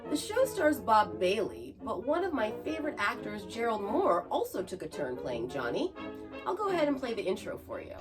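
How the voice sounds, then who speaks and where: A woman's voice: medium pitch, wildly swooping pitch, normal speed. A woman hosting a podcast, reading scripted narration with chatty asides. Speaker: podcast host. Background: music.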